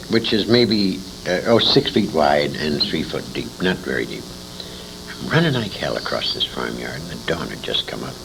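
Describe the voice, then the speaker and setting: An older male veteran with a low, gravelly voice recounts his memories in an interview. His delivery swings widely in pitch as he haltingly and emphatically acts out who said what, with salty language. It is an amateur tape with clear background noise. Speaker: war veteran being interviewed. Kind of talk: anecdotal storytelling in an interview. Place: indoor interview at a convention. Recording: noisy amateur interview tape with room ambience.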